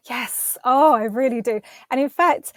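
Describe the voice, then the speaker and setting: An English woman with a fairly high voice talks with eager enthusiasm, often restarting her sentences. Her voice sounds close and dry, with little room around it. She is a singer chatting as a guest. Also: slow, no echo. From London, England. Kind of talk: casual interview chat.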